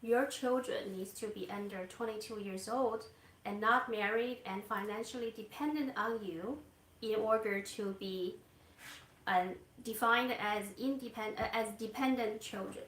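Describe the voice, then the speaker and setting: A soft-spoken woman who works as an immigration consultant, explaining steps gently with hesitant fillers. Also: mid-range voice, measured pace, echoey room, rough recording. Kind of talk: explaining to a live audience. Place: Canada.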